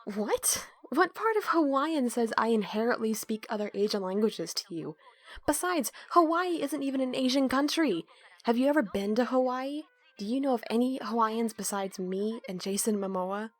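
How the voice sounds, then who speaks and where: A woman's voice: fairly high pitch, lively intonation, moderate pace, soft background music. A woman playing a snarky, put-upon young student accomplice in an audio roleplay, trading sarcastic banter. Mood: defensive. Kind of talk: audio drama roleplay dialogue.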